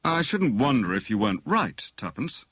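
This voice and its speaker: A man's voice: medium pitch, very animated, moderate pace, fairly bright tone, breathy edge, no echo, studio quality, voice pushed up. A man voicing a jovial, pompous husband who plays amateur detective in a teasing, mock-grand manner for an audiobook. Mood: mildly sceptical.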